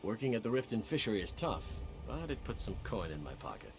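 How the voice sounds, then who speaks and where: Gravelly fantasy fishery worker. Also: male voice, on the low side, big pitch swings, fairly quick. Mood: resigned. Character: weary working fishery hand in fantasy town. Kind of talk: video game character dialogue. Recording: game dialogue under noisy ambience.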